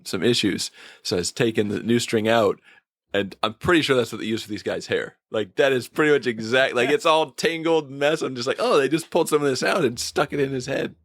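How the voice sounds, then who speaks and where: Creaky-voiced man in deadpan casual podcast chat. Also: medium pitch, no echo, clean studio sound. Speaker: podcast guest.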